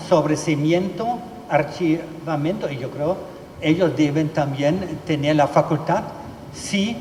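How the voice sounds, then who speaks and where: A man gives a formal talk on law in a large hall. He speaks slowly in a fairly high voice, pausing often on fillers and restarting phrases. The sound is roomy, with a long echo and faint room noise behind it. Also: warm tone. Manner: halting, many fillers and restarts. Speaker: legal expert speaker.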